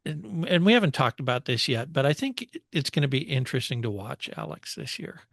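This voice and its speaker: A man's voice: medium pitch, sing-song, a little fast, gravelly, no echo. Man casually co-hosting sports talk, dry studio sound. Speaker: motorsport show co-host.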